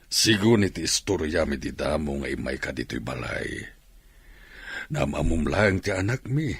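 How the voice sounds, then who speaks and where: An actor playing a weary, regretful father in a radio drama, with a gravelly, raspy voice. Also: rumbling low, big pitch swings, normal speed, dry recording, exaggerated voice. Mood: measured.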